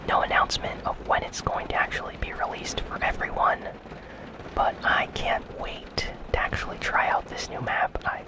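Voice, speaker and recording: A host whispering video game news softly and without hurry in an ASMR style, with rain sounds behind the voice. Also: poor audio. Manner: soft, unhurried whispering.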